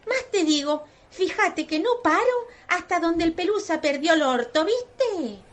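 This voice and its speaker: A scheming Argentine woman psychotherapist in a comic radio soap. Her voice is high and very animated, brash and crude, as if always making a speech. It echoes in a room with some noise behind. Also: unhurried. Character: brazen, foul-mouthed, manipulative woman psychotherapist. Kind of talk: absurd radio soap acting.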